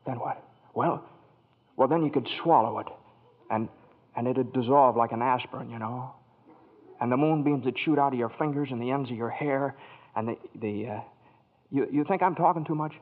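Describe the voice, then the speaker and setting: A man's voice: medium pitch, normal speed, pauses often, natural voice. Man playing an earnest, stammering small-town young dreamer. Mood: dreamy, eager. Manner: stammering, talkative, folksy. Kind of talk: 1940s radio drama acting. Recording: tinny, narrow vintage radio recording.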